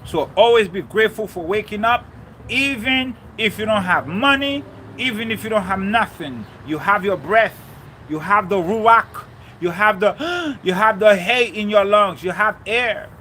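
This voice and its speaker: A man's voice: high voice, animated, a little slow, pushed and bright, slight background noise. A man from Haiti giving a fervent spiritual talk on faith and relationships, repeating key lines for emphasis. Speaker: spiritual speaker. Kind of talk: preachy motivational talk.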